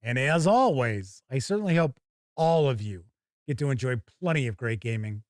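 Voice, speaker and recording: An American man hosting a casual livestream, chatting to viewers in a folksy, rambling way with drawn-out phrases. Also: medium pitch, lively intonation, no room sound, studio quality. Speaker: host of a tabletop gaming livestream.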